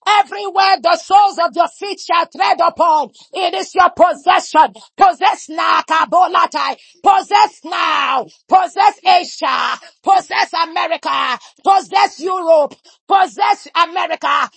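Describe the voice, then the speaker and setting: A woman prays at full shout in a very high, gravelly voice, slipping into tongues between declarations. Her lines come in a chanting, repeated rhythm, each one driven hard. The sound is close and dry, with no room around it. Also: a little slow, no room sound. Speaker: prayer leader. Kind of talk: fervent shouted prayer with speaking in tongues.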